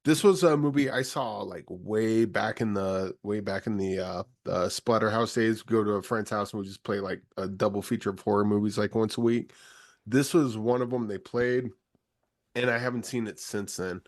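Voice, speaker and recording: A man with a somewhat low voice co-hosts a film podcast over a video call. He speaks casually and haltingly, restarting his sentences as he recalls things. The sound is dry and close. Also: no echo. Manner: hesitant, lots of fillers and restarts. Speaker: podcast co-host.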